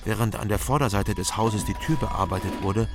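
An actor playing a guarded, dry-humoured hero who narrates a radio crime drama in terse sentences. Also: male voice, lowish voice, moderate pace, background noise, lightly acted. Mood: laconic, tense. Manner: terse, laconic, wry. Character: dry, guarded male hero telling his own story. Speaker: first-person narrator. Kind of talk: scripted noir crime narration.

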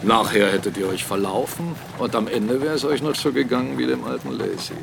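An actor playing a blunt, argumentative captain and mill owner in a German radio drama, speaking emphatically. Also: male voice, medium pitch, steady tone, a little fast, vocal fry, music in the background. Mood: matter-of-fact, grim. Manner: argumentative, emphatic, rhetorical questions. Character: blunt, defiant male mill owner and captain, critical of church.

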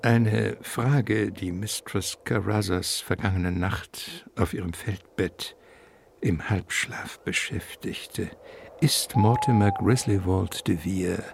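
Male radio play narrator, gravelly, expressive and ironic, faint noise behind him. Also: low voice, slow.

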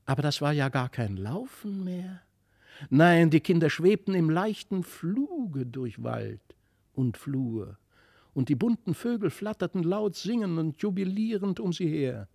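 A man narrating a fairy tale as an expressive audiobook reading. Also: on the high side, measured pace, vocal fry, dry recording, studio quality. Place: dry studio.

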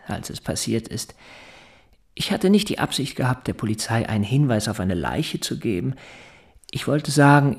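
A creaky, mid-pitched man's voice plays an anxious, evasive father in a radio drama. He speaks formally and deliberately, with an earnest, confessional air. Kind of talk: German radio drama acting with narration.

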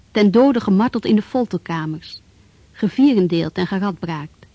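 A woman narrating a historical radio drama in a grave, measured way. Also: mid-range voice, mellow, breathy edge. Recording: dry, dull lo-fi radio recording with music.

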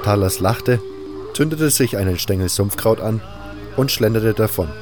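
A man's voice: on the low side, normal speed, a little mellow, soft background music. A man reading scripted first-person narration for a fantasy audio drama.

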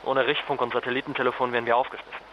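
Radio drama: exhausted journalist husband male actor, clipped and urgent, colloquial, muffled sound over clear background noise. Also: mid-range voice, little pitch movement, rapid-fire, close to an everyday voice. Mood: tense, practical. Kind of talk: naturalistic radio drama dialogue.

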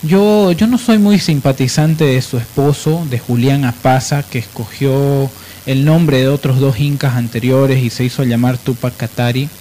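A man's voice: medium pitch, unhurried. A man hosting a live radio discussion, explaining didactically and repeating phrases for emphasis. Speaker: radio talk host. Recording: noisy live radio with steady hiss.

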